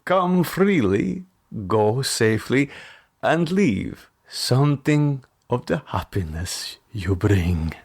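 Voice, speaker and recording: Slow, courtly old vampire count. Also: male voice, medium pitch, animated, husky, full character voice. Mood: solemn welcome. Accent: foreign, strange intonation. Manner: slow, deliberate, stately. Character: tall old male vampire count, courtly, commanding, eerie.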